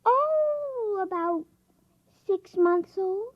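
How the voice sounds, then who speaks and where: A tiny, precocious girl in an old radio drama. The voice is extremely high and childlike, candid and matter-of-fact. The recording is muffled and lo-fi. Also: expressive, drawn-out words, full character voice. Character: precocious, candid little girl of three or four. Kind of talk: 1950s radio drama acting.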